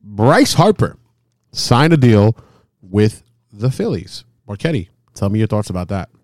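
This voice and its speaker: A man in his forties hosting a casual baseball chat, excitable and often repeating himself. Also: lowish voice, a little slow, no echo, clean studio sound. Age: 40s. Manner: excitable, repeats himself, stumbles over words. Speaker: host. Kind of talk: casual sports talk.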